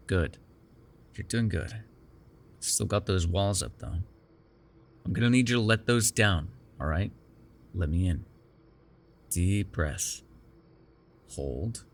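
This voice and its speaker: A man's voice: low voice, full character voice. A man playing a sardonic, prickly but secretly caring vampire in an ASMR roleplay, with a teasing manner. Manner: teasing, sarcastic, breaking into exasperation and soothing murmurs.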